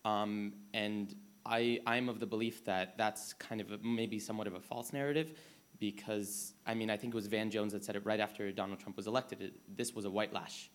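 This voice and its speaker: An American man speaks from the floor at a panel discussion. His voice sits a little low, and he talks in an unscripted way, repeating phrases as he builds his question. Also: steady tone, fairly quick. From United States. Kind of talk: spontaneous public question.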